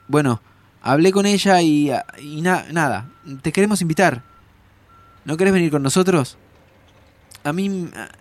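An actor playing an excitable, anxious time traveller in an audio drama, with an Argentine accent and a dramatic, easily flustered way of talking. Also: male voice, fairly high pitch. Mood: casual. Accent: Argentine Rioplatense. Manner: dramatic, exclaiming, stammering when flustered. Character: excitable, anxious time-travelling man, close friend of Santi.

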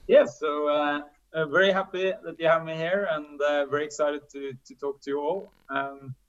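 Male guest, 40s, measured and reflective; monotone delivery, dull recording. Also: fairly high pitch. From Norway. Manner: thoughtful, measured storytelling. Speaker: podcast guest. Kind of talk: casual interview answers.

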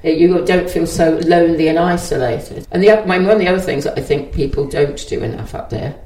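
A woman's voice: deep voice, fairly quick, dark tone, reverberant, rough recording. A woman on a discussion panel, giving emphatic, practical opinions.

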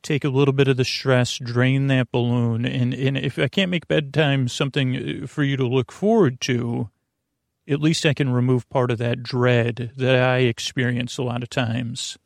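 A man hosting a bedtime podcast in a halting, meandering monologue that circles back on itself, with a gravelly voice. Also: mid-range voice, flat delivery, no room sound, studio quality. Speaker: podcast host.

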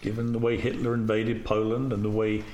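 A fairly low male voice from an interview host, probing and conversational in his questions. The sound carries a roomy echo with faint ambient noise beneath. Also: flat delivery, a little fast, a little mellow.

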